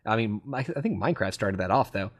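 A man's voice: lowish voice, expressive, quick pace. A man hosting a casual gaming podcast, explaining things in a rambling, enthusiastic way. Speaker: podcast host.

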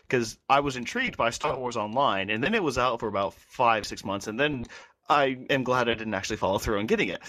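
A man speaks in an informal podcast discussion. His voice sits at an average male pitch, and he talks conversationally as a co-host would. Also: fairly bright tone. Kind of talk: casual chat.

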